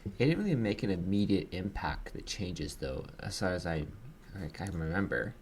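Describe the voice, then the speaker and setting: A man's voice: low voice, lively intonation, moderate pace, slight background noise. A man on a podcast panel, chatting casually about football.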